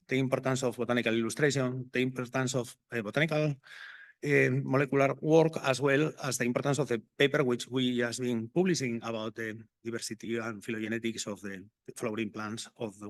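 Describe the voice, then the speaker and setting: A man's voice: medium pitch, no echo. A middle-aged man from Spain with a Spanish accent, giving an enthusiastic, rambling conference talk as a botanic garden horticulturist. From Spain, Asturias. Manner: enthusiastic, rambling, self-correcting.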